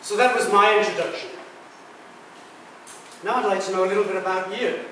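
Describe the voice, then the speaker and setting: A man's voice: sits high, fairly even intonation, a little fast, crowd noise. Man in his sixties, echoey hall. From Britain. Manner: jokey, chatty with the audience. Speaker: guest speaker. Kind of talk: public talk. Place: large echoey lecture room. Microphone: far camera mic. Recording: echoey room from far off.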